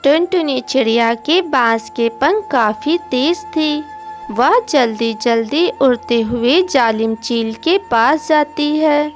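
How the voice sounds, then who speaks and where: High-pitched, slow woman narrator. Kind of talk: scripted children's story narration. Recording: music behind the voice.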